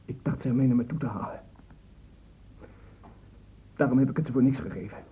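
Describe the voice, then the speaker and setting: Husky, guilt-ridden young student. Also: male voice, mid-range voice, a little fast. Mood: subdued, defeated. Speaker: actor in a radio drama. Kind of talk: Dutch radio play acting. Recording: muffled, thin phone-like old radio sound.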